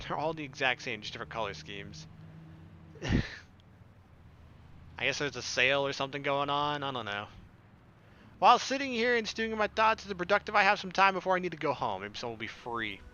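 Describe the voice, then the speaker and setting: A man's voice: fairly high pitch, big pitch swings, a little fast, long pauses, pushed and bright, dull audio, a little hiss or hum. A young man doing casual gameplay commentary and reading visual novel text aloud. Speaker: let's player. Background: game music.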